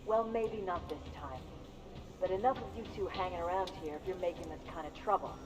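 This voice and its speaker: An actor playing a brisk, complaining proprietor of a rough joint in a video game, nagging as he talks. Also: high voice, lightly acted. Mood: annoyed. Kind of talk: video game cutscene acting. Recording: reverberant hall with music behind.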